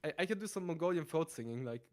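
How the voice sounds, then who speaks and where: Calm, matter-of-fact male stream guest. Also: a little high, quick pace. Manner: calm, matter-of-fact, explains vocal technique. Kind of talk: casual chat.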